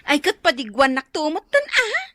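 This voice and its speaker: A woman's voice: high voice, big pitch swings, moderate pace, bright, forceful tone, no room sound, heavily acted. A woman playing a gossipy, conspiratorial friend in a comedy radio drama. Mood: amused, conspiring.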